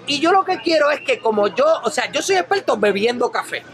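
A man's voice: very high voice, fairly quick. A middle-aged man hosting a vlog in a small coffee shop, talking casually and emphatically close to the camera. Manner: animated, emphatic, gesturing. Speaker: vlog host. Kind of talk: casual chat. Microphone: camera held close at arm's length.

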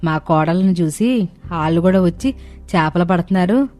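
A woman's voice: lowish voice, expressive, moderate pace, mellow, lightly acted. Scolding older mother-in-law, music behind woman acting. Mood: puzzled. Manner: exasperated, colloquial complaining. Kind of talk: animated moral story dialogue.

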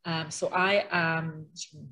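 A middle-aged woman with a soft Edinburgh Scottish accent presents a webinar over a video call. Her voice sits low and has a husky edge. She talks in a loose, conversational way, full of ums and small restarts. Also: steady tone, unhurried, faint music behind. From Scotland. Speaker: interior designer presenting a webinar.

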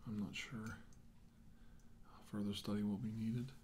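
A middle-aged man playing a weary, shaken doctor in a halting, brooding ASMR monologue that often drops toward a whisper. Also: fairly low pitch, little pitch movement, noisy background, lightly acted. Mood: puzzled, halting. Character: weary, shaken male doctor recounting a battle with aliens. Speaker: performer of ASMR roleplay. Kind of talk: slow ASMR roleplay monologue, soft and partly whispered.

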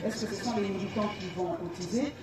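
A woman's voice: medium pitch, a little fast. A woman moderating a debate, pressing the candidates for concrete answers. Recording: echoey, distant phone recording with crowd.

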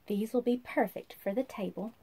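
A woman voicing a gentle, cheerful young princess from a storybook, sweet and encouraging. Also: a little high, moderate pace, slightly rough.

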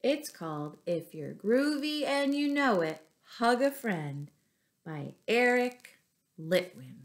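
A middle-aged woman reading a picture book aloud to young children, with deliberate, expressive phrasing and little asides to her listeners. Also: a little high. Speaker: children's storyteller, teacher. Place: small furnished room at home.

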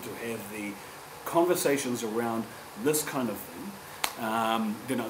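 A man in his forties with a New Zealand accent speaks earnestly at a public panel, often restarting his sentences. His voice sits at a middle pitch and carries the echo of a lecture room. A steady background hum runs underneath. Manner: earnest, halting with many restarts. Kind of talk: panel discussion. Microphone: table microphone, distant.

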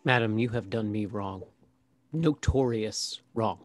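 A man's voice: on the low side, measured pace, noisy background, lightly acted. A man playing a wronged, indignant steward in a Shakespeare comedy read. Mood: bitter, wronged. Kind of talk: live table read of Shakespearean verse drama. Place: indoor room, video call.

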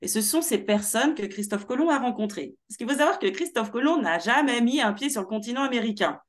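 A young woman from Paris with Martinican roots, speaking as a guest in an animated interview over a video call. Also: a little high, fairly quick. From Martinique heritage, born in Paris. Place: small room at home.

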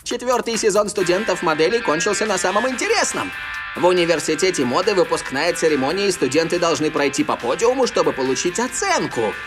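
An actor voicing a chatty young male player who rambles on excitedly. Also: high voice, fairly quick, music in the background. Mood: excited retelling. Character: chatty young man player, a TV-series fan.

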